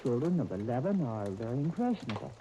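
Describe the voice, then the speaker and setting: An American woman acting a gentle, reasonable fiancée in a 1930s radio soap opera. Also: extremely low pitch, big pitch swings, fast talker. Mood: gentle, affectionate. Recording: noisy, dull 1930s radio disc recording.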